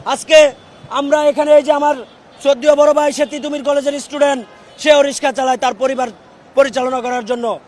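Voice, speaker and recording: A young man from Bangladesh making a loud, emphatic public appeal, recorded outdoors in a street clip. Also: very high voice, fairly even intonation, brisk. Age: young adult. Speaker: protest speaker.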